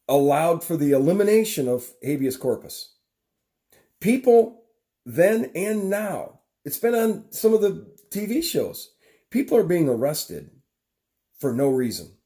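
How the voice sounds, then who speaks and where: A man speaks in a warm, mellow voice. He delivers an unscripted monologue, earnest and rambling. He often stops and restarts his sentences. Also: fairly high pitch.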